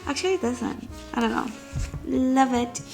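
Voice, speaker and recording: A woman's voice: high-pitched, normal speed, gravelly. A young woman with a stuffy, nasal voice from a cold, chatting casually as a vlogger about her day, speaking into a phone camera. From China. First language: Chinese. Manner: chatty, diary-like, laughs lightly. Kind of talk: casual vlog chat. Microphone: handheld phone camera. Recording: vlog with music bed under voice. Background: background music.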